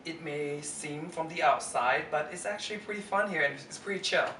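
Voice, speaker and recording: A man's voice: a little high, brisk, sharp tone. A man in his twenties, from Las Vegas and born in Thailand, answering casually as an interview guest. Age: 20s. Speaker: interview guest, pole performer. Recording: roomy, distant mic, faint background noise.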